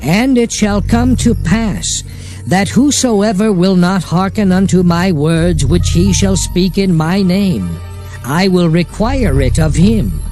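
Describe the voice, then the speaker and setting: A man playing a solemn prophet proclaiming divine law in a dramatized King James scripture reading, measured and oratorical. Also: high-pitched, dark tone.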